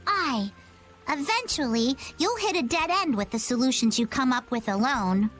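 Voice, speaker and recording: High-voiced seafaring girl. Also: animated, moderate pace, fairly bright tone, faint music behind. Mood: sage encouragement. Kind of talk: dramatic video game voice acting.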